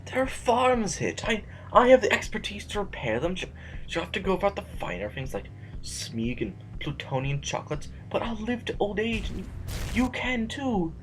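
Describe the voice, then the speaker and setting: A man's voice: sits high, very animated, normal speed, rough recording. A man acting as a reluctant, argumentative intellectual rebel in an audio drama, hesitant and wordy, anxious to survive. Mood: pleading, argumentative.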